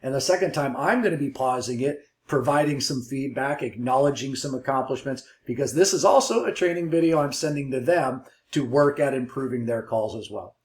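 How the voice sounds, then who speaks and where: A man's voice: mid-range voice, brisk, few pauses. Encouraging male coach in an echoey room. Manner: encouraging, affirming. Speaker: call coach and trainer. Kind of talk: coaching commentary on a recorded call. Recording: roomy, echoey sound.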